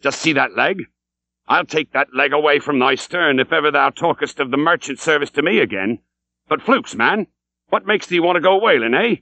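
A man's voice: lively intonation, normal speed, pushed and bright, no echo, heavily acted, low-quality recording. A man voicing a fierce, blustering old male sea captain who barks archaic questions. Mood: gruff demanding. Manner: booming, archaic, interrogating.

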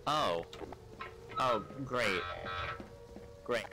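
A man's voice: medium pitch, expressive, very slow, music in the background. A man giving casual, sardonic gameplay commentary, muttering and swearing as he plays. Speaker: gamer playing a role-play game. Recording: clear background noise, game ambience.

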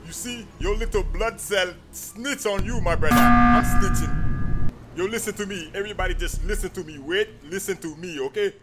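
A man voicing an excitable, indignant crewmate in an animated game parody, dramatic and repetitive. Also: high-pitched, a little fast, hardly any pauses, over loud music, close to an everyday voice. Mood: accusing. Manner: dramatic, repeats himself, exclamatory.

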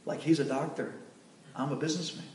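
Man voicing a shrewd butcher, matter-of-fact, quiet, mic at a distance. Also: a little high, fairly even intonation, fast, a little hiss or hum, close to an everyday voice. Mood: blunt. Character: shrewd male butcher, blunt and unmoved. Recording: echoey room, distant mic.

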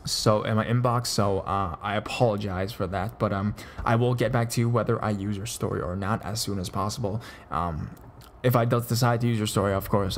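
A man's voice: fairly low pitch, slight background noise. A man hosting a podcast, chatting casually and rambling, with frequent stammers and restarts. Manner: loose, chatty delivery with many fillers. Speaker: podcast host.

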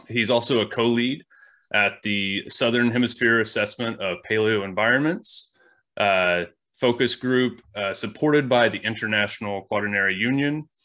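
A man's voice: lowish voice, sharp tone. A middle-aged man hosting an interview over a video call from an indoor workshop, upbeat and casual with many uhs. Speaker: podcast host. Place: indoor workshop with wood stacks.

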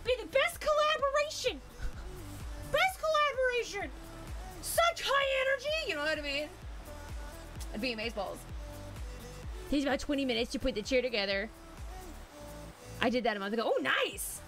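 A woman in her twenties, live-streaming from a gaming room with a desk mic, bubbly and excitable, laughing often. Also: high voice, moderate pace, long pauses. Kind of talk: casual live-stream chat. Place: gaming room lit with colored lights. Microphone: desk mic with headset. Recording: music under the voice. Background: background music.

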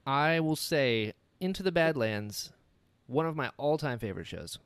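A man hosting a podcast, enthusiastic and animated, often acting out little quoted exchanges. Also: mid-range voice, normal speed, clean tone, no echo. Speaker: podcast host. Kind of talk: casual interview chat.

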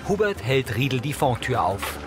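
A man's voice: medium pitch, sing-song, normal speed, loud music behind the voice. A man reading scripted audio description of scenes in a matter-of-fact way.

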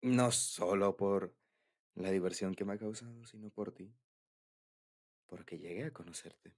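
A man's voice: sits low, measured pace. A young man with a Mexican accent in a casual, confiding boyfriend ASMR roleplay, bashful and hesitant.

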